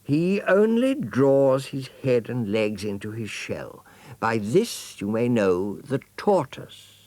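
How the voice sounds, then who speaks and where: A man voicing a gracious, patient mother jaguar in a storybook, lecturing deliberately. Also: mid-range voice, sing-song, dark tone, no echo, pitched above the performer's own voice.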